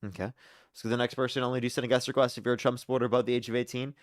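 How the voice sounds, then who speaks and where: A young man hosting a live call-in debate stream, briskly screening callers with sarcastic asides. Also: medium pitch, flat delivery, no echo, clean studio sound. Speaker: livestream debate host.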